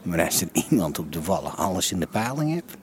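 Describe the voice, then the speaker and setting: An actor playing a small, knowing red-light district insider, narrating a radio drama in a wry, street-wise way. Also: male voice, low-pitched, very animated, fairly quick. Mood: wry, confiding.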